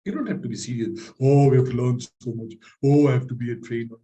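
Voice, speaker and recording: A man hosting a live training masterclass, energetic and motivational, often checking in with "right?"; his voice is a little strained from speaking loudly. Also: mid-range voice, fast talker. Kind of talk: live online masterclass with audience questions.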